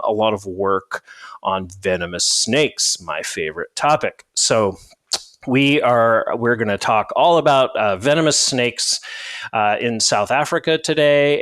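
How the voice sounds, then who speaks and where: A man hosting a podcast interview, talking in a relaxed, chatty way with many fillers and restarts. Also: lowish voice, dry recording. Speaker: podcast host. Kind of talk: casual interview.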